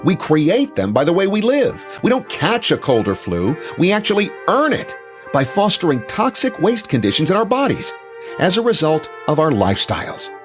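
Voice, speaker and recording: A man's voice: on the high side, wildly swooping pitch, moderate pace, soft background music. A man giving a motivational health talk in an emphatic, declarative manner.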